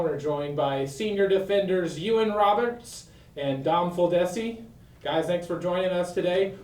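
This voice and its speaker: Male sports media host. Slightly high, soft-edged voice, conversational questions with frequent restarts. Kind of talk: sports interview hosting.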